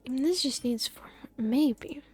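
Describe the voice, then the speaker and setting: A woman's voice: high voice, animated, normal speed, darkish tone, a little hiss or hum. A woman giving casual live game commentary, playfully muttering to herself with little sound-word fillers. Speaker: streamer.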